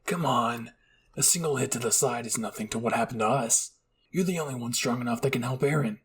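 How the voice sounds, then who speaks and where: A man's voice: medium pitch, fairly quick, husky. A man voicing the spirits of fallen squad mates, earnest and urging, in a dramatic fan fiction roleplay. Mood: firm encouragement.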